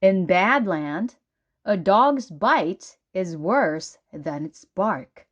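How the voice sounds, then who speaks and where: A woman reading a children's storybook aloud as narrator, with deliberate, dramatic emphasis. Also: on the low side, lively intonation, highs cut off. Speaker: children's book reader.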